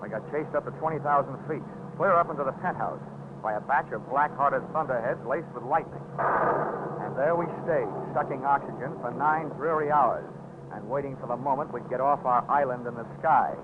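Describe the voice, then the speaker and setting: An American actor playing a wry, hardboiled insurance investigator in a 1940s radio drama, with clipped, wisecracking delivery. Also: male voice, mid-range voice, moderate pace, heavy background noise, close to an everyday voice. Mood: wry, brisk. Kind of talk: 1940s radio acting, first-person narration and dialogue. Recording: narrow, tinny 1940s radio recording with music.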